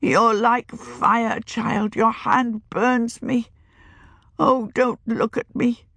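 A woman putting on a frightened old witch, high and pleading. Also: steady tone, extremely slow, gravelly, a bit bright, slightly rough, no room sound, exaggerated voice. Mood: fearful pleading. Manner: pleading, quavering, begging to die.

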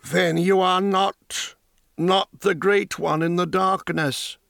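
A broken, haunted old woman dyer, put on by a male audiobook reader, speaks slowly in a strained, raised register. Her voice lifts high with fierce intensity, each phrase drawn out. The recording is dry and close. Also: fairly even intonation, gravelly, fairly bright tone, dry recording, exaggerated voice. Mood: awed. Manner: slow, strained, rising high pitch. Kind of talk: audiobook character voice.

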